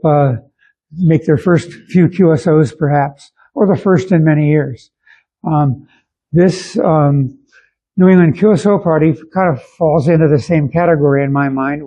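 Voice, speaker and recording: An older man giving an informal club talk, explaining things in a halting, unhurried way. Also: fairly high pitch, warm tone, muffled recording. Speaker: amateur radio club guest speaker.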